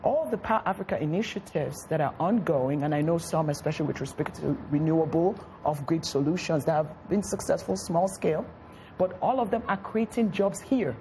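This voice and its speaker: A woman's voice: sits low, brisk, mellow, a little hiss or hum. A woman speaking as a studio guest on a talk show, making an earnest, persuasive case.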